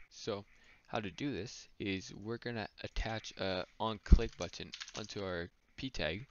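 A man explaining code as he types, thinking aloud with small slips. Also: low-pitched. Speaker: coding tutor. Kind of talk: screen-recorded coding walkthrough. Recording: dull lo-fi mic with noise. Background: keyboard typing and clicks.

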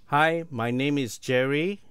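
A man in his fifties from Singapore giving a measured, halting self-introduction as a recorded sample. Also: fairly high pitch, fairly bright tone, smooth, no echo. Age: 50s. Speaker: demo speaker.

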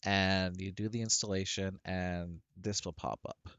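A deep-voiced man speaks slowly and takes his time, restarting his sentences as he walks through a software setup. His headset microphone picks him up dry and close, with no room sound around him. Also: level tone, clean tone, no room sound, lo-fi sound. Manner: rambling with frequent restarts and fillers. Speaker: tech tutorial creator. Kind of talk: casual screen-recorded software tutorial.

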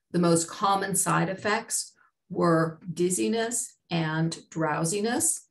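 A middle-aged woman with a Boston accent, presenting a medical webinar over a video call in a measured, explanatory way. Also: fairly low pitch. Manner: measured, explanatory, slow and deliberate. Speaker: chief medical officer. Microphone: webcam on a video call.

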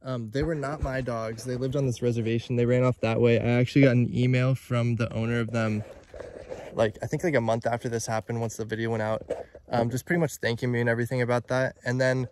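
A young man in his twenties vlogging by phone at arm's length outdoors, talking in an excited, chatty way full of "dude" and "like". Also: mid-range voice, a little hiss or hum. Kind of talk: casual vlog narration. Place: outdoors at an abandoned waterpark. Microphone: phone held at arm's length.

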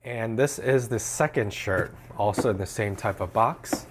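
A young man talks through an unboxing in a small room at home, his lowish voice a little hoarse from a cold. He explains things in a relaxed, easy way, with plenty of "like" along the way. A faint background hum sits under his voice. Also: a little slow. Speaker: fashion vlogger. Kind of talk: casual unboxing narration.

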